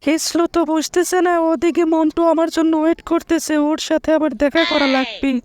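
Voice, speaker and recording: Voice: high-pitched, fairly even intonation, brisk, voice pushed up, lightly acted. A performer voicing a flighty young cartoon woman with a Bangladeshi accent, whiny and evasive, in colloquial rural speech. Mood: impatient, evasive. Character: flighty young woman two-timing her boyfriends with excuses. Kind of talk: comedy cartoon dialogue. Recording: music under the voice.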